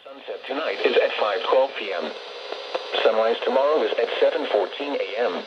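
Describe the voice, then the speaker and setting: A man's voice: mid-range voice, normal speed. A synthetic-style man reading a scripted weather bulletin, heard through a radio speaker. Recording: muffled, staticky radio speaker with noise.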